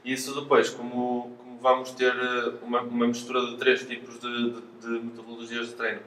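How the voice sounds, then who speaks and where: A man's voice: mid-range voice, completely flat delivery, a little fast, a bit bright. A man with a European Portuguese accent explains things as an interviewed physiotherapist, hesitating and repeating words as he goes. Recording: roomy echo, distant mic, faint noise.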